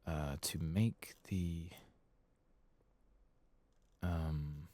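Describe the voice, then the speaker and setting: Man, very low voice, slow unhurried pace, soft ASMR gaming commentary, murmured thinking aloud. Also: steady tone, no room sound. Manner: murmuring, musing aloud to himself, hesitant. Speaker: gaming channel host. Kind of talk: soft-spoken ASMR game playthrough commentary.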